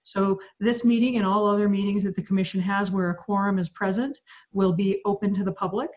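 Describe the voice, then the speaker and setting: A woman explaining a resolution to a public commission over a video call, clear and methodical with occasional fillers. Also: mid-range voice, steady tone, a little fast, a little mellow. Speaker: city staff presenter.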